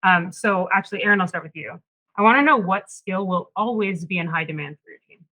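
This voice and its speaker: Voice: medium pitch, brisk, pushed and bright, rough recording. A panel moderator who works in product, chatting in a friendly, conversational way and putting questions to the guests. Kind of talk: hosting a careers panel.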